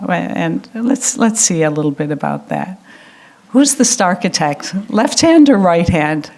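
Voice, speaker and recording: American woman, lecturer, fairly low voice, measured delivery, filler ums. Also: a little mellow. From United States. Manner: measured, with frequent ums and uhs. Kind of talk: public lecture.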